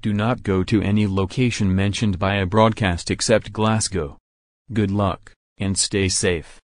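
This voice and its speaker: A man playing a grave survivors' group announcer, reading a measured, formal emergency broadcast in a web drama. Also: fairly low pitch, steady tone, no echo, clean studio sound, lightly acted. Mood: grave warning.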